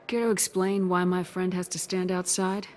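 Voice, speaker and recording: A woman's voice: lowish voice, little pitch movement, normal speed, natural voice. An actress playing a tough, composed female commander in a video game, direct and dry. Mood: cool, direct. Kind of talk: video game character acting. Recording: music behind the dialogue.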